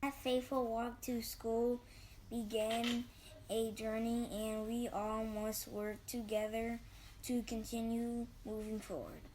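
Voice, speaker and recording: A woman reading a solemn, hopeful civil rights pioneer's words for a storybook, measured and phrase by phrase. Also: fairly high pitch, little pitch movement, a little mellow, slight background noise, poor audio. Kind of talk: read-aloud quotation.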